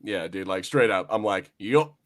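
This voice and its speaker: A man's voice: low-pitched, animated, moderate pace, a bit bright. A man chatting casually as a podcast host, informal and sweary in the way he talks.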